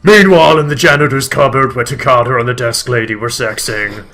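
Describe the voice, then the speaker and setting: Man reading fan fiction aloud, bright voice. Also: medium pitch, a little fast. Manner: reads deadpan, breaks into laughter, voices quotes loudly. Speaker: reader of a bad fan fiction. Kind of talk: comedic dramatic reading.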